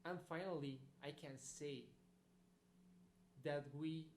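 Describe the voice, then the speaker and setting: A man's voice: a little high, fairly even intonation, takes it slowly. A male graduate giving a recorded congratulatory message, earnest and searching for words. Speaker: graduate sending a video message. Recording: echoey recording with faint hum, played in a hall.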